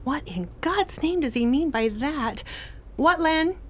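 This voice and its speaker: High-pitched young expectant wife played by an actress. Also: female voice, expressive, slow. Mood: matter-of-fact. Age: young adult. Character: young expectant wife, earnest, fretful and whimsical. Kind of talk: radio play acting. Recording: faint background noise.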